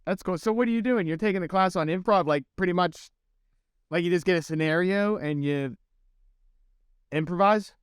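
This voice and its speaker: Podcast host: man, fairly high clear voice, dry close sound, curious and casual. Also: moderate pace, long pauses, no room sound. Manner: curious, loose, uses "like" a lot. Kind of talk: casual interview conversation.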